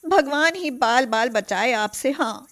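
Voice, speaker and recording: A woman voicing a feisty, outspoken young adult daughter in an animated moral story. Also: sits high, unhurried. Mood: exasperated.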